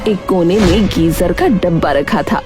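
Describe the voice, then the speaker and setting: A woman's voice: on the low side, a little slow. A woman narrating a scripted cartoon moral story with dramatic storytelling delivery. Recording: music behind the voice.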